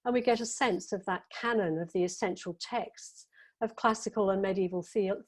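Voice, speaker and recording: A woman giving a conference paper, reading her prepared text in a measured, careful way with the odd filler. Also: medium pitch, a little mellow. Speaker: academic conference speaker.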